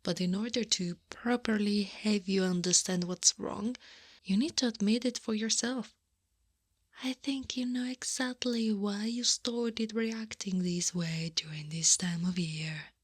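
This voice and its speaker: A woman playing a knowing female Krampus monster in an intimate ASMR roleplay, firm yet tenderly comforting, in a soft voice that often drops to a whisper. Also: mid-range voice, slightly rough, dry recording, studio quality. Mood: knowing, firmly coaxing. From Italy. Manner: slow, gentle, deliberate coaxing. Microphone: close-up microphone.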